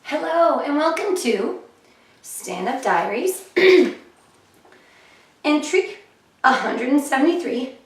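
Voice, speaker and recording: A woman comedian chatting casually in a vlog about her shows, her voice a little hoarse and often clearing her throat. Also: sits high, animated, takes it slowly, pauses often. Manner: chatty and upbeat, with fillers. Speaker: comedian vlogging her diary. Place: living room of a flat, indoors. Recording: echoey room, heard from a distance.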